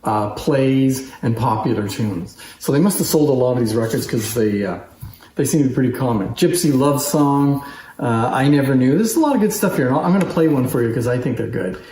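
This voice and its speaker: A man presents in a casual, unscripted, conversational style with some enthusiasm. His voice sits at a middling pitch, and the room adds a clear echo around it. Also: expressive, a little fast, dark tone. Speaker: antique phonograph collection presenter. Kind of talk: casual unscripted demonstration talk. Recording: roomy echo.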